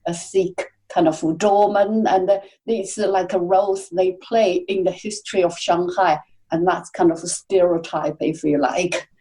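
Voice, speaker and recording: A woman speaking as a guest on a podcast interview, soft-spoken and hesitant, with many fillers. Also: lowish voice, fairly even intonation, normal speed, few pauses, low-quality recording. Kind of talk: casual interview chat.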